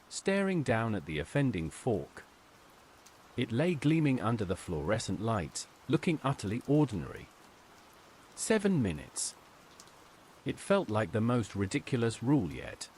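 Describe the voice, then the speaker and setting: A man narrating a scripted first-person horror story in a measured, suspenseful way. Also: medium pitch, darkish tone, a little hiss or hum.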